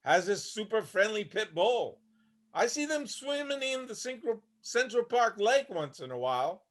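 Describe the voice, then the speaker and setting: A man's voice: high voice, sing-song, normal speed, fairly bright tone. A man acting a haunted, homeless older Vietnam veteran, weary and bitter, telling his story plainly in a staged play reading. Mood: resigned, friendly. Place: online video call reading.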